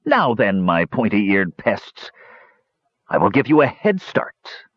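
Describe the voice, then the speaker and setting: A man voicing a fat, cruel hobgoblin warlord who blusters and sneers, with a husky, animated voice. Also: on the low side, takes it slowly, no room sound, dull audio, exaggerated voice. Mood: gloating.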